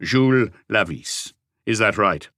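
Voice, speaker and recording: A man voicing a calm, probing police inspector who asks short, measured questions in an audiobook mystery. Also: on the low side, no echo, clean studio sound, exaggerated voice. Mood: probing, patient.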